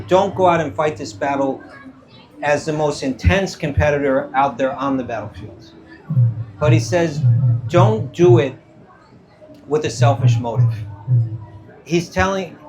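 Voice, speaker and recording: A man with a fairly high voice teaches scripture as a spiritual teacher. He speaks conversationally to his listeners, checking that they follow and voicing quoted lines. Faint music plays behind him. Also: a little mellow, rough recording. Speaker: spiritual teacher taking audience questions. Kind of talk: informal talk on scripture to a live audience. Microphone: event microphone.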